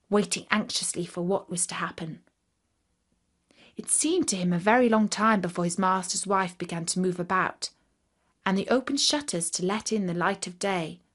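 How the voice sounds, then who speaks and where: A woman narrating a scripted fairy tale for children in an expressive, deliberate storytelling manner. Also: medium pitch.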